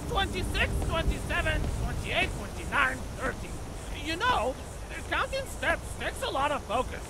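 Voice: high voice, very animated, moderate pace, noisy background. An actor voicing a small, chatty, boastful rat creature in a video game, rambling with hesitant asides. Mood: counting. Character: small chatty boastful skeever, hard-drinking step counter. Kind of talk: scripted game companion dialogue. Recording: loud music behind the voice.

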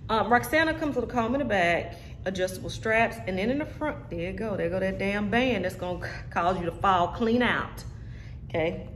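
A woman in her thirties talks to her viewers from a chair indoors, trying on and reviewing a wig. She is sassy and full of jokes, with a medium-pitched voice. The room adds a noticeable echo. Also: normal speed, a bit bright, slight background noise. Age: 30s. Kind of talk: casual review vlog. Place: indoor room with brick wall.

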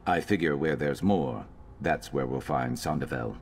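Very deep, gruff lizard-man companion. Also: a little slow, a little hiss or hum. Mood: grim, determined. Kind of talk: video game character acting.

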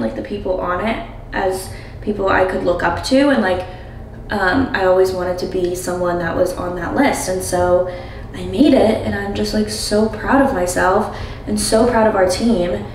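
Casting: American woman, twenties, mid-pitched, chatty casual vlogger delivery, recorded in a reverberant bathroom. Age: 20s. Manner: chatty, frequent "like". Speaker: lifestyle vlogger. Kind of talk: casual chat to camera. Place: home bathroom with hard surfaces. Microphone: camera a step or two away. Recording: roomy, distant mic, faint noise.

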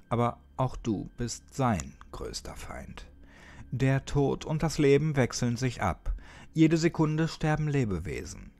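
A man narrating a horror story as a dramatic audiobook, addressing the listener ominously with pauses and occasional near-whispers. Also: mid-range voice, creaky, a little mellow. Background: music.

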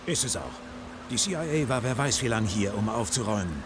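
An actor playing a grim, determined special forces squad leader in a dubbed video game. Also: male voice, lowish voice, lively intonation, brisk, noisy background, close to an everyday voice.

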